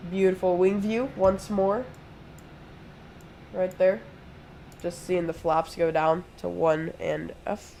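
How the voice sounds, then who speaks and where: A man's voice: high voice, little pitch movement, takes it slowly, gravelly, long pauses, darkish tone, a little hiss or hum. A congested young man, sick with a throat infection, streaming from home and chatting through each step of a flight simulator session at his desk mic. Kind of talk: casual live play-by-play.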